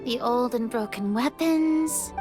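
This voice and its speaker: A woman voicing a bright, curious young adventurer girl in a video game, eager and deliberate in manner. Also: on the high side, music in the background. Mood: thoughtful.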